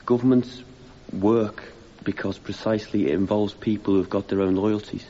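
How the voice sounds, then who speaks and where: An actor playing an earnest young activist giving plain, thoughtful testimony as a defendant in a radio drama. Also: male voice, on the low side, fairly even intonation, moderate pace, mellow. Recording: dull, faintly noisy radio broadcast copy.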